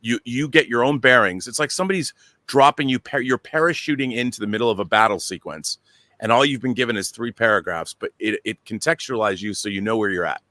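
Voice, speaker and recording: A man's voice: medium pitch, quick pace, bright, forceful tone, dry recording. A man giving emphatic, opinionated panel commentary, repeating himself and asking rhetorical questions. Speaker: show panelist.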